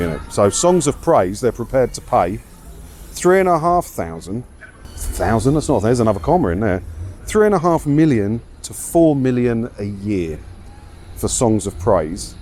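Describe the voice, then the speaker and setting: A man's voice: on the low side, darkish tone. A man with a southeast English accent giving casual, rambling commentary as a vlogger, chatty and colloquial, repeating himself and tagging questions onto his sentences. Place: outdoors, walking around a seaside town. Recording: outdoor street ambience with traffic noise.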